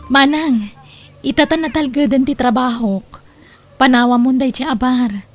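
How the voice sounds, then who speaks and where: A woman's voice: sits high, moderate pace, soft background music, lightly acted. A woman acting a polite, grateful young doctor and devoted younger sister in an Ilocano audio drama, speaking earnestly. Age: young adult.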